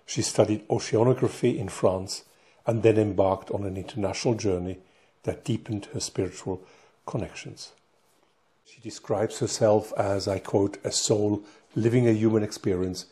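A man reading a scripted introduction of a guest in a measured voice. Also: fairly low pitch, mellow. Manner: measured, read-aloud delivery. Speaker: narrator. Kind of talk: scripted narration.

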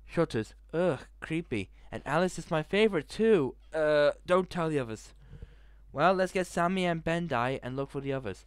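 A young man with a headset mic in a small bedroom, reading a visual novel aloud in a hushed voice and breaking into loud reactions. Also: on the high side, lively intonation, normal speed, clear, resonant tone, no room sound. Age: young adult. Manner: reads narration in a hushed tone, reacts with loud outbursts. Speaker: gaming video host.

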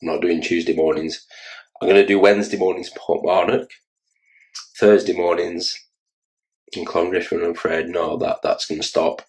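A tired Irish man in his forties with a Dublin accent, talking casually and haltingly to his phone camera in a small room. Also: sits low, darkish tone, rough recording. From Ireland. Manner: rambling, halting, self-mocking. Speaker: children's entertainer and vlogger. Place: small room indoors, in an office chair. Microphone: phone camera held close.

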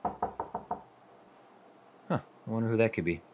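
A man's voice: on the low side, measured pace, background noise, lightly acted. A man playing an earnest, jittery newlywed husband in a radio drama. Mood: easygoing.

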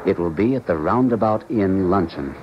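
Male scientist, low pitch, cultured, exact diction, vintage radio drama, dull recording. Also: animated, moderate pace. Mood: assured, precise. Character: cultured, uneasy male scientist helping a colleague defect. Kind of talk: 1970s American radio drama acting. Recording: muffled 1970s radio recording, faint noise.

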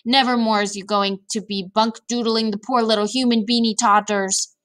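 A woman voicing a big, kindly, excitable male giant in a storybook, with exclamations, muddled grammar and invented words. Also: on the high side, normal speed, close to an everyday voice. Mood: agitated.

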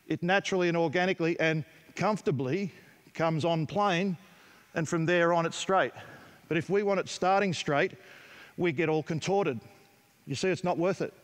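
A middle-aged man with an Australian accent, coaching players in a gym with brisk, direct instructions. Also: on the high side. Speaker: basketball coach. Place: large indoor basketball gym. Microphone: headset mic.

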